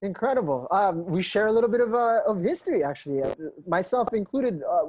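A man's voice: sits high, animated, brisk, a little mellow, no room sound, poor audio. Male interviewer, dry close sound. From Philippines and Indonesia. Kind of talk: casual interview chat.

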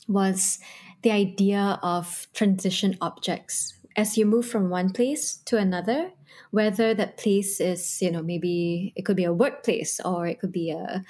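A woman co-hosting a podcast, sharing her reflections in a thoughtful way with many pauses and restarts. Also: medium pitch, darkish tone. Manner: thoughtful, with frequent ums and rephrasing. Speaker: podcast co-host. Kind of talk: reflective discussion.

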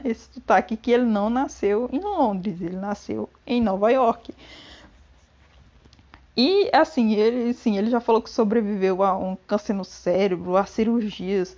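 A woman's voice: a little high, mellow, muffled recording. A Brazilian woman with a Brazilian Portuguese accent, chatting casually about a book, rambling with many restarts and frequent laughs. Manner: gossipy, laughs often. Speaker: book vlogger. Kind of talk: casual chat. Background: rain and thunder.

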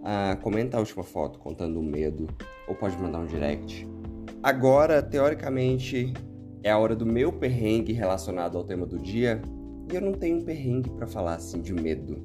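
Brazilian male podcast host, low hoarse voice, casual and playful, guitar music behind. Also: lively intonation, normal speed. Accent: Brazilian Portuguese. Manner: chatty and upbeat, with playful asides. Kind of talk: casual solo podcast monologue.

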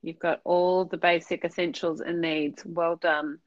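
Low-voiced woman hosting an interview. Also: level tone, measured pace, dry recording, muffled recording. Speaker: podcast host.

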